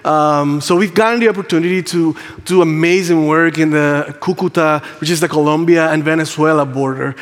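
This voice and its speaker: Puerto rican accented pastor dude, upbeat and chatty, bit higher voice, big echoey room. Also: male voice, fairly even intonation, a little fast, hardly any pauses. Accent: Puerto Rican Spanish. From Puerto Rico. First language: Spanish. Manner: warm, enthusiastic, with frequent ums and playful asides. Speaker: campus pastor. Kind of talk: church announcement. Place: church sanctuary. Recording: long hall reverb.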